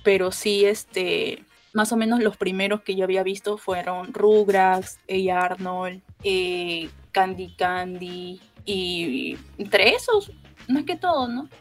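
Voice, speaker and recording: Mid-pitched woman in casual chat. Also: slow, soft background music. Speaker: podcast host.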